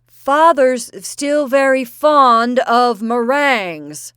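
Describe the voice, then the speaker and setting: A woman's voice: sits low, steady tone, very slow, gravelly, no echo, clean studio sound, heavily acted. A woman reading an audiobook voices an awkward, embarrassed young man who sounds flustered and pleading.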